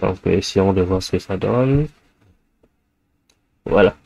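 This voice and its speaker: A man's voice: sits low, unhurried, vocal fry, darkish tone, no room sound. A man with the flu, giving a screencast coding lesson in a hesitant way, thinking aloud with many fillers while he types. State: has the flu. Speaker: programming tutor.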